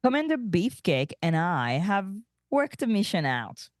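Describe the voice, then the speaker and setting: A woman's voice: lowish voice, very animated, a little slow, no echo, studio quality. A woman reading the part of a seductive, unapologetic female alien space rogue in a comedic table read. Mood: sultry, sly.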